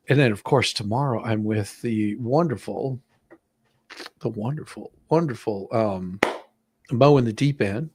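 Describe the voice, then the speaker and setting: A man hosting a stream in casual, jovial, chatty talk. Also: medium pitch. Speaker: stream host. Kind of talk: casual chat.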